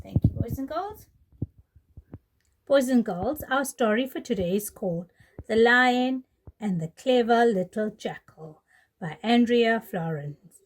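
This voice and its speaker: Lady teacher reading a kids story, really animated, slow pace, high voice. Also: low-quality recording. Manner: animated, addressing young children. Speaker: pre-primary teacher, storyteller. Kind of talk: reading a children's story aloud.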